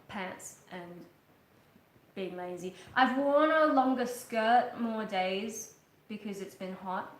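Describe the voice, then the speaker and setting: A woman's voice: on the high side, roomy sound, slight background noise. A young woman chatting casually on a livestream from her living room couch, rambling with many ums and restarts. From Australia. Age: young adult. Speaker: livestream host, metal singer. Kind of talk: casual live chat. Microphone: webcam at a distance.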